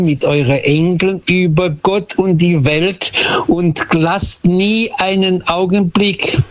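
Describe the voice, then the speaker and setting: Higher-voiced earnest man from Portugal. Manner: earnest, repeats words for emphasis. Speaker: radio call-in caller. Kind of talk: personal storytelling.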